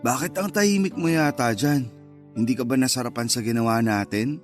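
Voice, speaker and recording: A man's voice: medium pitch, a little fast, close to an everyday voice. Man acting a teasing, flirtatious secret lover, music behind. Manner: casual, teasing, coaxing. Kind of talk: Tagalog radio drama dialogue.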